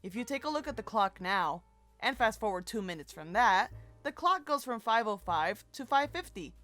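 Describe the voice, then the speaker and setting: A woman's voice: on the high side, very animated, normal speed, a bit bright, soft background music. A woman co-hosting a fan breakdown, giving recap commentary in a playful, jokey way. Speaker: co-host of a fan breakdown show.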